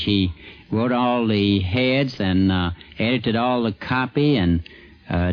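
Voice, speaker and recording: Slow, low-voiced man. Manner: halting, thoughtful. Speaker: newspaper sports editor. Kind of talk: unscripted interview recollection. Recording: dull 1950s radio tape.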